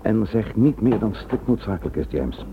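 An actor in a Dutch radio drama playing a stern, gruff police commissioner with a curt, authoritative manner. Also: male voice, on the low side, very animated, normal speed, narrow, tinny audio. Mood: gruff, disapproving. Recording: noisy, thin old radio recording with hum.

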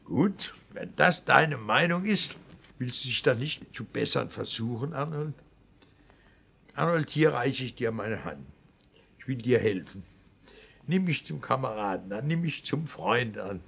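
A gravelly, anguished stern father. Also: medium pitch, animated, measured pace, fairly bright tone, exaggerated voice. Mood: earnest, urging. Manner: insistent, theatrical, swings from biting reproach to pleading. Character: stern, anguished father pleading with his wayward son. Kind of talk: classic German radio drama acting.

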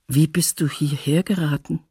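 A woman playing an elderly survivor recalling her childhood ordeal, husky and hesitant, in a documentary radio drama. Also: sits low, fairly even intonation, normal speed, mellow, dry recording, clean studio sound, exaggerated voice. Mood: quiet, reflective. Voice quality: husky, gravelly.

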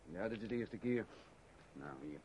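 An actor playing a plain, matter-of-fact travelling companion in a radio play. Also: male voice, lowish voice, animated, normal speed, a little mellow, lightly acted. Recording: muffled old radio recording, faint noise.

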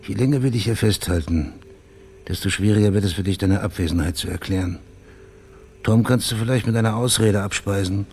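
Radio thriller: male actor as cool male private eye, low voice, probing and dryly sardonic. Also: brisk, a little mellow, slight background noise, close to an everyday voice. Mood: pressing. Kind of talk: naturalistic radio crime drama acting.

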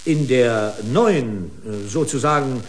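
An actor playing an indignant, officious company lawyer pleading in court with pompous, long-winded legalese in a radio drama. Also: male voice, medium pitch, expressive, slow pace. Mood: officious, aggrieved. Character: indignant, officious corporate attorney arguing in court. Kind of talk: German radio drama acting.

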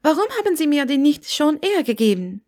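A woman's voice: sits high, very animated, a little fast, darkish tone, voice pushed up. A woman voicing a reserved, weary young woman who is polite, hesitant and evasive, in an audiobook story. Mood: startled.

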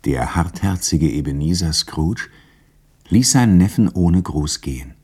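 A man's voice: deep voice, expressive, a little slow, darkish tone, a little hiss or hum. A man narrating a 1960s radio drama as a dramatic scripted story. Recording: studio radio narration with faint music behind. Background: faint music.

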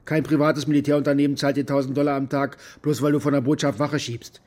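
An actor playing a bitter, maimed former military contractor who rambles coarsely and defensively in a naturalistic radio drama monologue. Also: male voice, mid-range voice, little pitch movement, quick pace, darkish tone, close to an everyday voice. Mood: bitter, brooding. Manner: coarse, defensive, agitated rambling with rhetorical questions.

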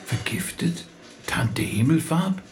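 A courteous middle-aged rabbi in a radio play. His voice sits at a medium pitch, and he speaks expressively, with polite, hesitant phrasing. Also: sing-song, slow pace. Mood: shocked, hesitant. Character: courteous, busy rabbi, shaken by bad news. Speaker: voice actor in an audio drama. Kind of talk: German radio play acting.

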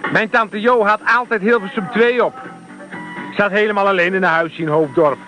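A high-voiced man an actor plays a technician in a Dutch radio drama. He talks conversationally with small hesitations. Music is clearly heard behind him. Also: normal speed, lo-fi sound, close to an everyday voice. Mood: reminiscing. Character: chatty, slightly hesitant technician reading out meter values. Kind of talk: Dutch radio play acting.

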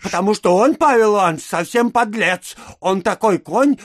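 High-pitched stammering servant. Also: male voice, animated, moderate pace, no room sound, studio quality. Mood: pleading. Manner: stammering, hesitant, servile. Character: meek, muddled peasant coachman servant. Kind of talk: theatrical audio-drama acting.

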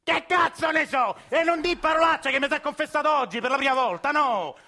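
A coarse old grandfather with a Roman accent barks and grumbles through a radio play, as a man puts him on. His voice sits very high and sounds sharp and bright, and he keeps shouting about food and church. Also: fairly quick, heavily acted. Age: older. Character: cranky ninety-year-old grandfather, old man.

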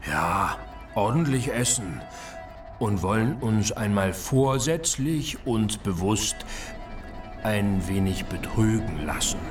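A man's voice: fairly low pitch, animated, slow. An actor playing a dreamy, infatuated man who muses and savours his words in a radio play. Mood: dreamy, musing. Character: dreamy, infatuated, musing male city stroller. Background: music.

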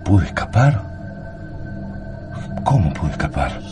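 A bewildered everyman in a radio drama, his voice very deep and echoing. He speaks in dazed fragments, over loud music. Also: big pitch swings, takes it slowly, full character voice. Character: Juan, bewildered time-lost everyman father. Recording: echoey, loud music underneath.

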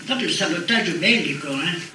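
A man's voice: high voice, lively intonation, brisk, sharp tone. An older man from Italy giving oral history testimony as a former factory worker. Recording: roomy, distant, faint ambient noise.

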